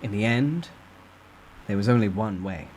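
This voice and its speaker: A man's voice: lowish voice, expressive, moderate pace, darkish tone, a little hiss or hum, poor audio. A man reading a novel aloud as a dramatic first-person narrator.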